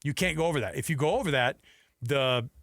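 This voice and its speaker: A man's voice: mid-range voice, brisk, creaky, fairly bright tone, no echo, studio quality. A man hosting a sports radio show in casual talk, often acting out imagined quotes.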